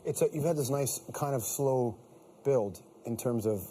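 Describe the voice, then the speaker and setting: A man hosting a talk show, asking an actor questions in a quick, playful way. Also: medium pitch, dark tone, a little hiss or hum.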